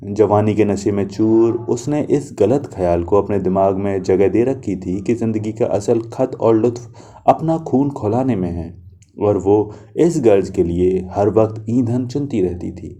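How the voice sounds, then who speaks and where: A man narrating a literary short story in the first person, reading in a measured, reflective manner. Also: fairly low pitch, dark tone.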